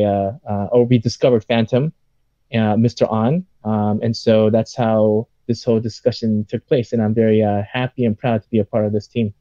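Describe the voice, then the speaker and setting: A man with an American accent speaking haltingly and conversationally as an interview guest. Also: lowish voice, mellow, no room sound. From United States. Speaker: interview guest, company executive. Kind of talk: conversational self-introduction. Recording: dry, dull lo-fi audio.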